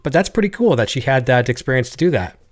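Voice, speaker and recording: A man's voice: mid-range voice, expressive, fast talker. A Canadian man hosting an interview, chatty and eager, reading out viewer messages. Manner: chatty, enthusiastic, reads viewer comments. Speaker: show host.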